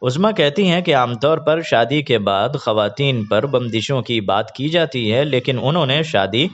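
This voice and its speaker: A man reads scripted news in a formal, measured announcer manner. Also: mid-range voice, expressive. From India. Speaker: news presenter. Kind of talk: scripted news reading in formal Urdu register. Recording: dull lo-fi online video audio.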